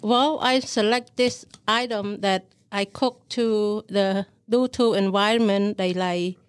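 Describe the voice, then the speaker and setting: A woman from Thailand, answering questions in simple, halting English. Her voice is mid-pitched and recorded dry and close, with little room sound. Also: steady tone, dry recording. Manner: halting, non-native English phrasing. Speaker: restaurant owner, guest. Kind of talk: interview answers. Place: podcast studio inside a shopping mall.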